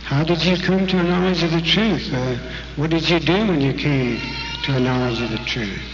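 A man's voice: fairly high pitch, normal speed, over music. A man interviewing a guest on stage with friendly, prompting questions. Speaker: interviewer at a convention. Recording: dull, hissy recording in an echoey hall.

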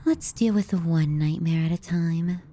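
A woman playing a teasing, possessive, sardonic younger sister in an intimate ASMR roleplay, speaking softly and breathily, often near a whisper, close to the mic. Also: lowish voice, unhurried, slight background noise, close to an everyday voice. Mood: teasing, mocking.

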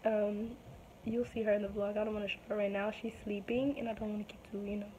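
A woman's voice: medium pitch, flat delivery, fast, lo-fi sound, slight background noise. Young woman, losing her voice, rambling vlog chat; phone at arm's length in a small room at home. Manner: rambling, soft-spoken, many ums. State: losing her voice, sore throat. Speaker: vlogger. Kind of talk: casual chat to camera. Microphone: phone or webcam at arm's length.

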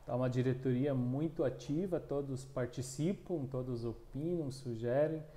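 A man's voice: medium pitch, mellow. A man in his thirties with a southern Brazilian accent, a union coordinator answering interview questions with many restarts, recorded on a lapel mic in a small room. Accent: southern Brazilian Portuguese. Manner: halting, many restarts and fillers. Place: small room, plain wall, indoors.

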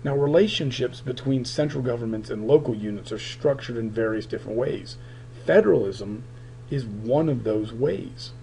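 Raspy-voiced man teaching a recorded lecture. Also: mid-range voice, warm tone, slight background noise, low-quality recording. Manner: halting, many fillers, explanatory. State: raspy, recovering voice. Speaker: political science teacher.

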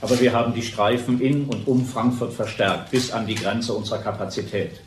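An actor playing a formal, measured police spokesman in a radio crime drama. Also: mid-range voice, fairly even intonation, close to an everyday voice. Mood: sober, official. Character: police spokesman, sober and official. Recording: roomy sound with clear noise.

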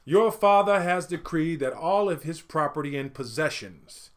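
A man voicing a stern, authoritative village chief in a storybook folktale, making commanding, deliberate pronouncements. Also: a little high, lightly acted. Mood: stern, solemn.

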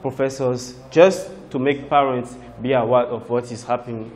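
A man's voice: medium pitch, steady tone, unhurried, darkish tone. A man, being interviewed as a school's marketing staffer. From Benin. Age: 20s. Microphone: lapel.

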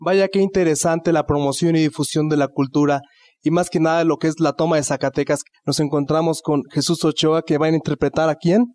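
A man's voice: fairly high pitch, steady tone, fairly quick, darkish tone. A young man with a Mexican accent presenting an on-camera interview into a handheld microphone. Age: young adult. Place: indoor office lounge at a radio station. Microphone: handheld reporter microphone.

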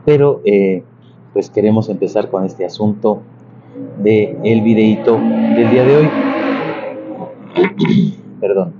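A man, giving an informal video lesson in a chatty, halting way that often wanders into asides. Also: lowish voice, music in the background. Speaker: church organist and psalm-singing teacher. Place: large bright interior beside an organ. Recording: muffled sound with clear background noise.

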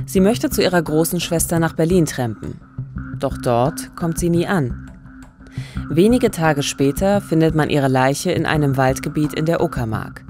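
A woman's voice: deep voice, lively intonation, normal speed, over music. A middle-aged woman hosting a true-crime podcast from a radio studio, reflective and musing aloud. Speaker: podcast host. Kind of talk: scripted intro and thoughtful podcast chat. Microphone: large studio mic on a boom, headphones.

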